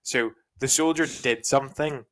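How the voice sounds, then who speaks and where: A man's voice: mid-range voice, moderate pace, fairly bright tone. A man co-hosting a casual church podcast, asking questions in a playful, teasing way.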